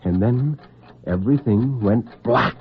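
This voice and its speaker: A man narrating an old-time radio suspense story with deliberate, literary intensity and a husky voice. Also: medium pitch, noisy background. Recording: tinny, band-limited vintage radio recording.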